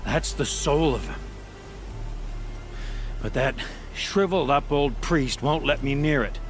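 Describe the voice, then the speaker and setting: An actor playing a gruff, bereaved craftsman in a video game, speaking in a blunt, heavy, halting way. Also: mid-range voice, lively intonation, faint music behind, close to an everyday voice. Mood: bitter grief.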